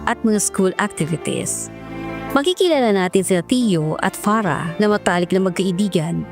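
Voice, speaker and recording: A woman's voice: medium pitch, expressive, normal speed, gravelly. A woman with a Filipino accent narrating and hosting a radio drama in a scripted storytelling style. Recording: loud music under the voice. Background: dramatic program music.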